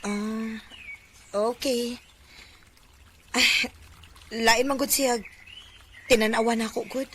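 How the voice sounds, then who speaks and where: A woman's voice: a little high, fairly even intonation, slow, pauses often. An actress playing a breezy, possessive young female best friend in a radio drama, speaking with a Filipino Bisaya accent. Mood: coaxing. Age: young adult. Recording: noisy radio drama recording with ambience.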